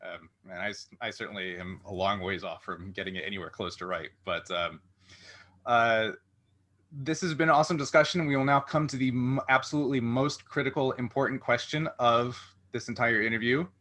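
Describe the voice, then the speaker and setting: Very bright-voiced man hosting an interview. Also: mid-range voice. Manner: halting, roundabout questions. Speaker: interview host. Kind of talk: casual interview talk.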